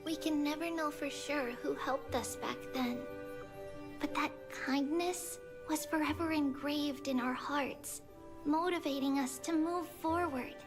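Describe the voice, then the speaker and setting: High-voiced, earnest girl game heroine. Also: a little slow. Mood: solemn, heartfelt. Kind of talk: scripted game character dialogue. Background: loud background music.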